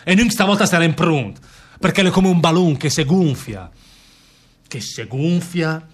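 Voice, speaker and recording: A man's voice: a little high, moderate pace, close to an everyday voice. A man playing an idealistic, defiant prisoner in a radio play, speaking in Milanese dialect. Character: idealistic, defiant male prisoner, terse cellmate. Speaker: stage actor. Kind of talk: radio theatre dialogue in dialect.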